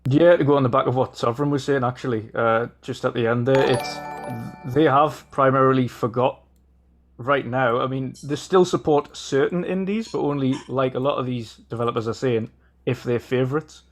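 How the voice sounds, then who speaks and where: A man's voice: mid-range voice, moderate pace, soft background music. A man with a Geordie accent, co-hosting a casual gaming podcast and arguing his point earnestly, with frequent ums and restarts. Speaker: podcast co-host.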